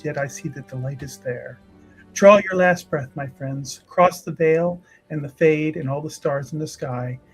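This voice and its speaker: A man voicing a solemn, devout religious sister in a fantasy tabletop game, speaking gravely and formally. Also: on the high side, poor audio. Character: solemn, devout chantry sister leading others in prayer. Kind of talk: in-character tabletop roleplay.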